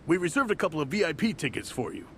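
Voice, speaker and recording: A man's voice: on the high side, sing-song, racing, slight background noise. A man voicing a polite, formal male knight officer, acted for a game. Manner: courteous, formal. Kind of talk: game voice acting.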